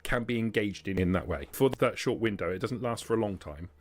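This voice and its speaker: A man with a fairly low voice leads a tabletop roleplaying game. He explains the rules and lore in careful detail, laying out each point as game master. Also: animated, fairly quick, slight background noise.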